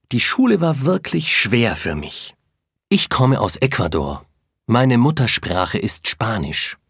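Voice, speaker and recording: An actor playing an Ecuadorian cook in his late thirties, telling his story in a plain, measured way. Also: medium pitch, husky, no echo, studio quality, heavily acted. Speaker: interviewee in textbook listening exercise. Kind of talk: scripted first-person account.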